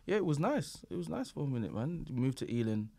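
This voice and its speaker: A young man chats as a guest on a comedy podcast. He tells stories in a loose, slangy way and laughs easily. His voice sits at a middling pitch and is recorded dry and close. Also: very animated, quick pace, gravelly, mellow, no echo. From England. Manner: laid-back storyteller, laughs often, slangy. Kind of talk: casual podcast chat.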